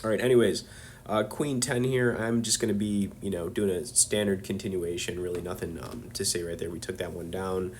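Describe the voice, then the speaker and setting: A man with a somewhat low voice and a cold hosts a poker review video. He chats casually, full of fillers and friendly asides to his viewers as he breaks down each hand. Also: steady tone, a little fast, slight background noise. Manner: loose and conversational, many fillers, chummy asides to viewers. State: has a cold.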